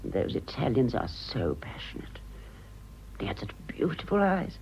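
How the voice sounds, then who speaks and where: An actress playing a vain, self-pitying ageing romantic in a British radio drama, confiding dramatically and halting for effect. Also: female voice, very deep voice, sing-song, a little mellow, breathy edge, in a whisper, heavily acted, poor audio. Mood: theatrical, romantic. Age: older.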